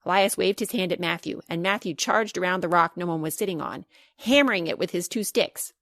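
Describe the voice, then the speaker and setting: A woman reading a children's storybook aloud as scripted narration, expressive and clearly paced. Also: fairly low pitch, brisk, dry recording, clean studio sound. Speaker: author reading her children's book.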